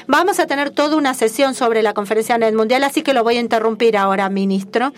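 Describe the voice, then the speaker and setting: A woman giving live simultaneous interpretation at a formal conference, measured and formal with frequent hesitations. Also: a little high.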